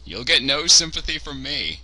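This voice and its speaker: A man's voice: fairly high pitch, big pitch swings, moderate pace, pushed and bright, low-quality recording. A man co-hosting a casual livestream podcast, joking crudely, swearing and laughing at his own jokes. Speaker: podcast co-host.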